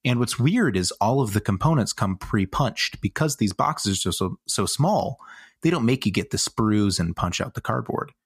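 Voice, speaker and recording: A man's voice: on the low side, lively intonation, normal speed, vocal fry, husky, no echo, studio quality. A man co-hosting a casual podcast, explaining things in an eager, descriptive way. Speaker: podcast co-host.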